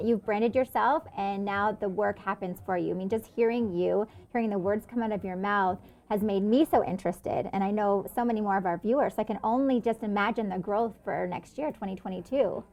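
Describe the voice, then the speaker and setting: A woman's voice: mid-range voice, brisk, a little mellow. A woman hosting a show, interviewing her guest in a conversational, encouraging way. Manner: encouraging, affirming.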